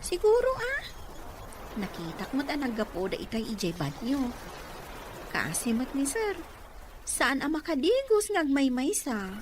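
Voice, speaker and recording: A woman's voice: high-pitched, sing-song, measured pace, long pauses, noisy background. A woman playing an industrious middle-aged aunt, anxious to please, in an Ilocano radio drama. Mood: busy, earnest.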